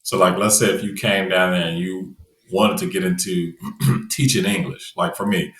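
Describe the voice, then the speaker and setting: A man's voice: sits low, moderate pace, roomy sound, a little hiss or hum. A man from the United States chatting casually as a podcast guest, loose and conversational with lots of fillers. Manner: relaxed, conversational, many fillers like "you know". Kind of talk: casual interview chat.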